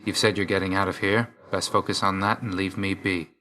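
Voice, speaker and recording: An actor playing a brooding young god of death in a video game, curt and dour, with clipped, stern, weary delivery. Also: male voice, low-pitched, flat delivery, a little fast, pushed and bright. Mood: terse, weary. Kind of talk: video game character voice lines.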